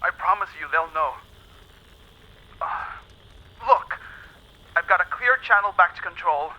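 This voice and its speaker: An actor playing an earnest, sympathetic space lieutenant, heard through a radio comms filter in an audio drama. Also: male voice, high voice, animated, measured pace. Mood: gentle, sombre. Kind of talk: naturalistic audio drama acting. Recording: muffled, lo-fi radio transmission.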